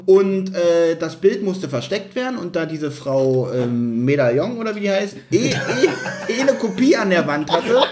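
Higher-pitched man in casual podcast chat. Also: sing-song, a little slow, few pauses, a little mellow. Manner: acts out quotes, hesitant searching for words. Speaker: podcast co-host. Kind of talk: casual podcast chat, retelling and critiquing a story.

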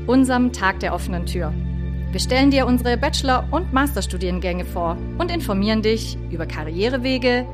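Eine Frau liest als Sprecherin einen vorbereiteten Werbehinweis vor. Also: female voice, fairly high pitch, lively intonation, fairly quick. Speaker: announcer. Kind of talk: scripted promotional announcement. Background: music.

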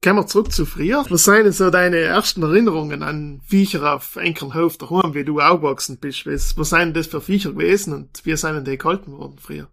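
A man's voice: fairly high pitch, a little fast, gravelly, few pauses, a bit bright. A man, hosting a podcast interview and asking short, friendly questions. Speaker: podcast host, editor-in-chief.

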